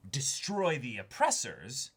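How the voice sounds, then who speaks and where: A man's voice: mid-range voice, huge pitch swings, takes it slowly, husky. A man co-hosting a casual discussion, talking analytically and stressing his key words. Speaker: co-host of an anime reaction discussion.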